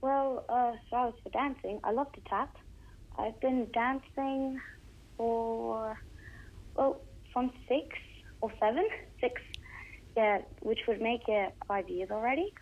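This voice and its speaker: A woman's voice: a little high, slow, dull audio. A young girl, a Russian speaker at home, giving shy, hesitant short answers in a radio interview. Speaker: young singer guest.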